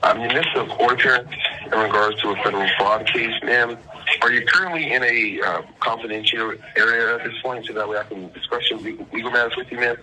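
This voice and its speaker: A man's voice: medium pitch, steady tone, fairly quick, bright, forceful tone. A man on a phone call speaking formally and insistently as a caller posing as an official, in legal language and addressing the listener as ma'am. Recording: telephone line with faint noise.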